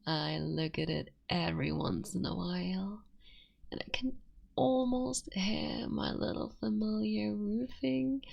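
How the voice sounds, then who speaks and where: A woman voicing a tender, motherly female fox-spirit witch in an ASMR roleplay, speaking softly and often whispering. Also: mid-range voice, steady tone, slow, gravelly, dull audio, exaggerated voice. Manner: soft and intimate, often whispering.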